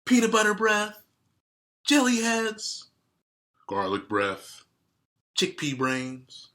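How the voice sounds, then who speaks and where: A man's voice: sits high, wildly swooping pitch, slow pace, bright, forceful tone, voice pushed up, exaggerated voice. A man voicing squabbling schoolchildren who hurl taunting, exclamatory insults in a storybook. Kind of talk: storybook character voices.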